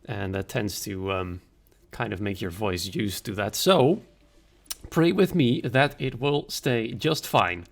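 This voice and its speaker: A man commentating a sim racing league stream in a chatty way, his voice hoarse and raspy after an illness. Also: on the low side, slow. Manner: chatty, conversational, greets viewers by name. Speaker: race commentator and league host.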